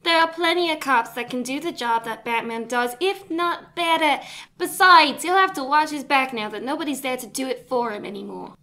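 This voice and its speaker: A woman's voice: high voice, moderate pace, sharp tone. A woman acting a defiant, bitter female villain in a fan audio drama, with a taunting, dramatic manner.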